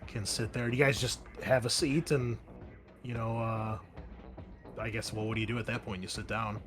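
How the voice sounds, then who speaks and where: Lower-voiced man narrating a tabletop game. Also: flat delivery. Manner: halting, conversational, thinking aloud. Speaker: game master. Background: background music.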